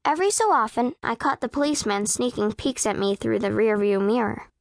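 A woman's voice: fairly high pitch, lively intonation, a little fast, creaky, no echo, studio quality. A woman voicing a proud, self-possessed eleven-year-old girl who narrates a children's audiobook in a precise, composed, matter-of-fact way. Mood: composed, wry. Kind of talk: first-person audiobook narration of children's fiction.

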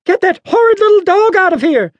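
A man's voice: extremely high pitch, sing-song, normal speed, no echo, lo-fi sound, pitched above the performer's own voice, full character voice. A man voicing a bossy, indignant little old lady in a storybook, with a tart, scolding manner. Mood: snapping, outraged. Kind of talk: storybook character voice.

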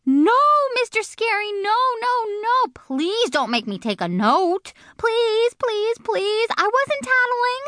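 A woman voicing a whiny tattletale little girl, squealing and pleading in a shrill voice. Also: big pitch swings, takes it slowly, hardly any pauses, fairly bright tone, no echo, clean studio sound, heavily acted.

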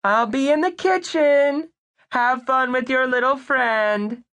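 A woman's voice: high voice, slow pace, fairly bright tone, voice pushed up, full character voice. A woman voicing a sweet, doting mother calling to her son. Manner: sing-song, affectionate.

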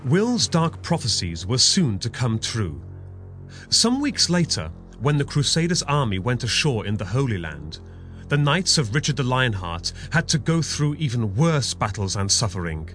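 Animated male storyteller with wide-ranging pitch. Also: moderate pace. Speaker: narrator. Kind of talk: dramatic scripted narration for children's audio story. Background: music.

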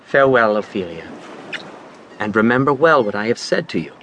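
Animated, earnest young nobleman. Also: fairly low pitch, lo-fi sound, slight background noise. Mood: affectionate parting. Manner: earnest, cautioning, measured verse delivery. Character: earnest young nobleman, protective, lecturing older brother. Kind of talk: Shakespearean audio drama acting.

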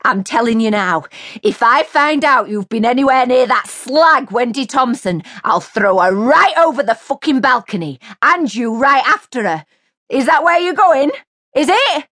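A woman's voice: fairly high pitch, a little slow, dry recording, studio quality, voice pushed up. Enraged shrieking woman, read by a woman narrator, storybook. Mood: furious. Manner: shouting, screeching, foul-mouthed. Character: furious woman, shrieking at her cheating partner.

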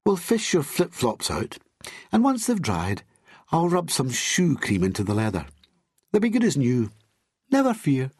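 A man's voice: a little high, huge pitch swings, a little slow, darkish tone, husky, no echo, clean studio sound, voice pushed up, full character voice. A man voicing a teasing, seductive woman doctor with a posh Glaswegian accent and a crooning, playful manner. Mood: kindly reassuring.

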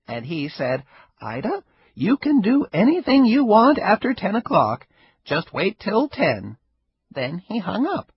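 A man voicing a frail, stubborn elderly grandmother with a sharp tongue, in a husky raised voice. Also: high voice, wildly swooping pitch, a little slow, pitched above the performer's own voice, full character voice. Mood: wry. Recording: dull lo-fi recording.

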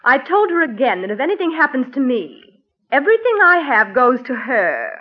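An actress playing a bitter, sardonic wife in a 1940s radio drama, with a cutting, reproachful manner. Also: female voice, high-pitched, big pitch swings, normal speed, breathy edge, full character voice. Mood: cold, bitter. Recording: muffled old radio recording, music behind.

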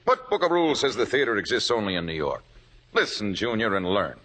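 Cocky, sharp-edged young stage director. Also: male voice, lowish voice, very animated, a little fast, slight background noise. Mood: impassioned, lecturing. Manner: emphatic, wry, lecturing. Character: cocky, impassioned young male theater director. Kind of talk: 1950s radio acting.

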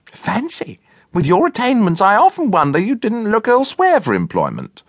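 A man's voice: sits high, huge pitch swings, normal speed, no echo, studio quality, pitched above the performer's own voice, full character voice. A man voicing a big, uninhibited, chatty woman cook in a storybook, gushing and exclamatory. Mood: chatty, cheery.

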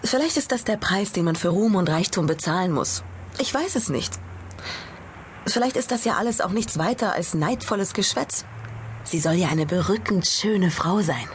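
A woman's voice: fairly low pitch, lively intonation, normal speed, lightly acted. A woman playing a proud, gossipy and worried hotel owner in a German audio drama, effusive and deferential. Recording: ambient noise behind the voice.

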